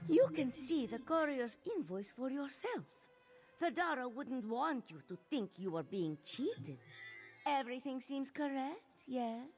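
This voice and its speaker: A woman playing a polite but catty cat-folk merchant in a fantasy game, measured and courteous with a husky voice. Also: sits high, animated, darkish tone, soft background music. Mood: businesslike. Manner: measured, courteous, refers to herself in third person.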